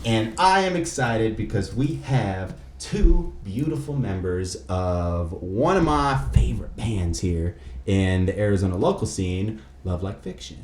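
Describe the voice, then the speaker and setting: A man's voice: on the low side, expressive, a little slow, a little mellow. Echoey, slangy man hosting a podcast. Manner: slangy, joking, filler-heavy. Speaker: radio podcast host. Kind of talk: casual interview chat. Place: indoor room with couch. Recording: roomy echo, faint noise.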